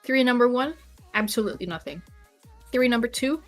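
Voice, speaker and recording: A woman's voice: a little high, expressive, quick pace, faint music behind. A woman chatting casually as a guest on a stream, telling small stories in a playful, wry way. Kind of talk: casual chat.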